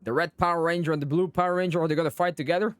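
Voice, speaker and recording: A man's voice: a little high, fairly even intonation, very fast, very noisy. A man live streaming a video game, chatting casually with viewers and commenting on the story. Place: close dry mic, game music behind.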